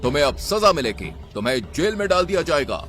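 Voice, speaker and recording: Man playing a commanding, expressive cartoon lion king. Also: a little high, fast, faint music behind. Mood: stern. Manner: commanding, regal. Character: stern, proud lion king of the jungle. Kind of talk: Hindi children's cartoon voice acting.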